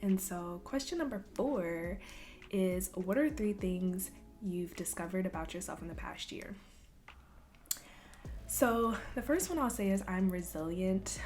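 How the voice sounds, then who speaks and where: A young woman from Louisville, Kentucky, chatting casually in a Q&A vlog, soft-spoken and relaxed with frequent ums. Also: medium pitch, unhurried, faint music behind. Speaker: vlogger. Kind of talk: casual Q&A chat.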